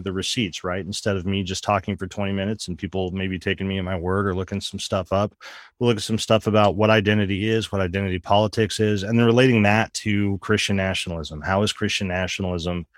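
A middle-aged man with a very low voice co-hosts a casual podcast, tired and recovering from an illness. He talks earnestly, circling back with fillers and restarts. The sound is dry and close, with no room in it. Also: no echo. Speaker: podcast co-host, religion professor.

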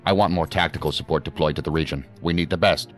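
A senior federal director in a futuristic radio play. His voice is exceptionally deep, and he talks with measured, formal authority. Light music sits underneath. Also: steady tone. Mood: commanding, businesslike. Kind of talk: audio drama acting.

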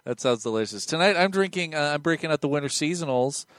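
A man's voice: on the high side, expressive, fast talker, fairly bright tone, no echo, studio quality. A young man hosting a casual podcast, joking and laughing often with his guests. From United States. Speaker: podcast host.

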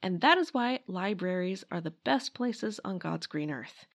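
A woman's voice: mid-range voice, lively intonation, a little slow, creaky. A woman hosting a podcast, reading scripted history narration in a wry, emphatic way. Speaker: podcast host.

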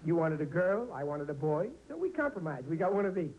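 A man's voice: fairly high pitch, very animated, fairly quick, muffled recording. An American actor playing a blustering, scheming, hapless middle-aged husband and father in a 1940s radio comedy, often stammering and pleading. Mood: contrite. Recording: noisy old radio broadcast recording.

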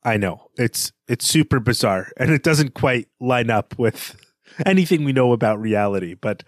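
Man, medium pitch, co-host, conversational, analytical, dry recording. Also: lively intonation, moderate pace, no room sound. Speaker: podcast co-host. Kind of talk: literary discussion podcast.

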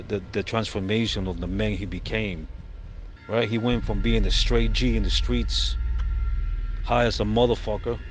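A middle-aged man from El Salvador giving an earnest, casual testimonial full of street slang and profanity. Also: fairly low pitch. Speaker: fan interviewee. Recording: music playing behind the voice. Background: music.

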